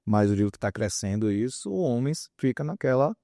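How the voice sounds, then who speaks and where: A man's voice: mid-range voice, very animated, a little fast, dark tone, no room sound, clean studio sound. A man, explaining his opinions in an interview with examples and repeated words for emphasis. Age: 50s. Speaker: guest psychologist and author.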